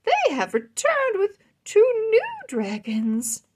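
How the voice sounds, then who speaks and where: Storybook queen, voiced by a woman: regal, gushing, high-pitched, very animated. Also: takes it slowly, heavily acted. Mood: delighted. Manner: exclaiming, gracious. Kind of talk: children's storybook read-aloud.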